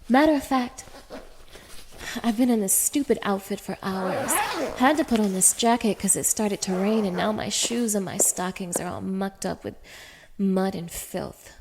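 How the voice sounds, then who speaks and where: A woman's voice: mid-range voice, lightly acted. Woman acting a sneering, contemptuous dominatrix. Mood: irritated, sulky. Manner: sneering, scornful, swearing, swinging from breathy murmurs to raised snaps. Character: cruel, contemptuous young dominatrix belittling a submissive man. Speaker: audio roleplay performer. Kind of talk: intimate ASMR roleplay acting.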